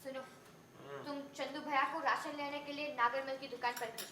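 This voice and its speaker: Harried, sarcastic daughter-in-law, family drama; very high, sharp voice, echoey room. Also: female voice, steady tone, a little fast, a little hiss or hum. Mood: bossy, weary. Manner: complaining, blunt, sarcastic. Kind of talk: naturalistic Hindi family film dialogue.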